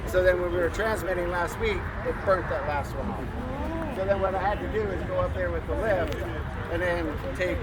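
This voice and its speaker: A man's voice: high-pitched, normal speed, heavy background noise. A man giving an informal technical tour outdoors, explaining step by step and repeating his points. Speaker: radio engineer and tour guide. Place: outdoors at an antenna site.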